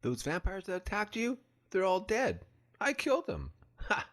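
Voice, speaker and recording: A man voicing a proud, dramatic vampire killer with a gravelly voice in a comic-book cartoon. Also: high-pitched, wildly swooping pitch, normal speed, a bit bright, heavily acted. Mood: boastful. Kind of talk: motion comic voice acting. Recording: music behind the voice.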